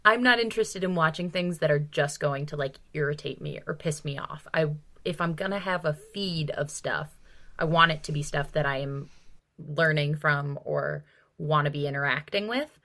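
A woman's voice: low voice, normal speed, fairly bright tone. A woman co-hosting a casual book discussion podcast, talking in an informal, emphatic way peppered with 'like'. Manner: informal, emphatic, frequent 'like' and mild swearing. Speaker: podcast co-host.

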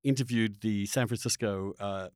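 A man's voice: on the low side, normal speed, a little mellow, no echo, studio quality. A man hosting a podcast, talking in an opinionated, unscripted way, emphatic and often restarting his phrases. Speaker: podcast host.

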